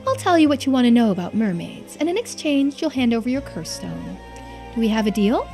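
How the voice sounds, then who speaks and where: A woman's voice: fairly high pitch, sing-song, brisk, darkish tone, faint music behind. Woman playing a reserved, cryptic mermaid expert. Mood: composed. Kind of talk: video game character voicing.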